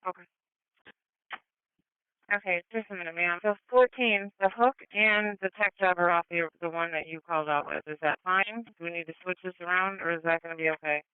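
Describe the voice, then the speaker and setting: A man's voice: high-pitched, fairly even intonation, fairly quick, smooth, dry recording. A man working as an emergency dispatcher, talking over a two-way police radio. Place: radio transmission heard over a scanner. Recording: narrow tinny police scanner radio.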